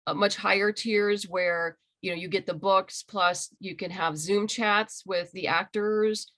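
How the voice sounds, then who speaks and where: A woman's voice: mid-range voice, steady tone, a little slow, a bit bright. A woman being interviewed as a writer and creator, talking casually and enthusiastically on a video call. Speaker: interviewed comic book writer and audio drama creator.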